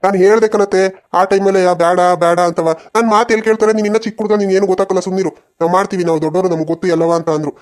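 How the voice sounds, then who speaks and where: A woman's voice: mid-range voice, fairly even intonation, quick pace, a little mellow, natural voice. A woman voicing a protective, dutiful young village man in a cartoon family drama, earnest and insistent, with a rural southern Karnataka accent. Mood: frustrated.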